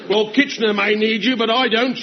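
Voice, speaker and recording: A man's voice: sits high, fairly even intonation, fairly quick, muffled recording, crowd noise, lightly acted. An actor playing a brash, mocking army drill sergeant who barks orders and laughs at his own jokes. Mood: jeering, bossy. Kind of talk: sitcom acting.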